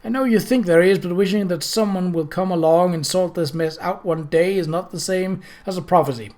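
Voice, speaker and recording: Guy doing a sorry old lodge master, high and halting. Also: a little mellow, natural voice. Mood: weary. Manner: high, hesitant, apologetic. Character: elderly male lodge master, apologetic and weary.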